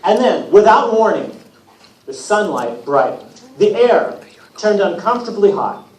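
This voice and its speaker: A man reading aloud as a wry, put-upon teenage boy demigod, telling his own story with self-deprecating, deadpan humour. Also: sits high, unhurried, pitched above the performer's own voice. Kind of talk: first-person storybook reading. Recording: echoey room from far off, hissy noise.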